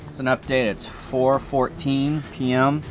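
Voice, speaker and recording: A man's voice: medium pitch, flat delivery, a little slow, very noisy. A man talking casually in a matter-of-fact, offhand way, giving short video-log updates as an amateur radio operator.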